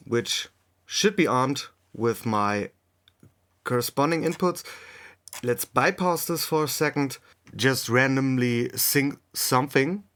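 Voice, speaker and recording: Slow-paced man giving a software tutorial. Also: mid-range voice, clear, resonant tone. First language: German. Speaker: music production tutorial host. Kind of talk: unscripted software tutorial narration.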